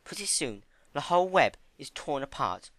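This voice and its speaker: A man voicing a timid, gentle female pony with a soft, hesitant, earnest delivery in a story reading. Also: on the high side, very animated, normal speed, pitched above the performer's own voice. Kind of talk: dramatic fan-fiction reading.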